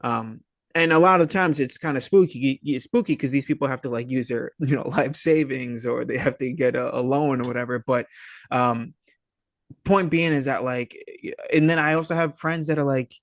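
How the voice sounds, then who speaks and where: A man with a medium-pitched voice talks casually as an interview guest. His delivery is halting and hesitant, full of street-casual slang. The sound is dry, with no room around it. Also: no echo. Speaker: filmmaker and actor, interview guest. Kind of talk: casual chat.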